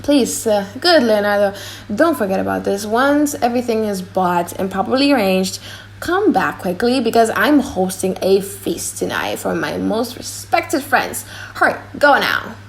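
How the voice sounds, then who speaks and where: A woman playing a courteous young gentleman who gives measured, polite orders in an audiobook drama. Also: medium pitch, lively intonation, gravelly, slight background noise. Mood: brisk.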